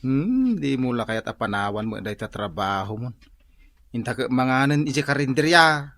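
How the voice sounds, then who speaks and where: An actor playing a teasing workmate and drinking buddy in a radio comedy drama, with an Ilocano accent. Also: male voice, mid-range voice, big pitch swings, normal speed, fairly bright tone, a little hiss or hum. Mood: chatty.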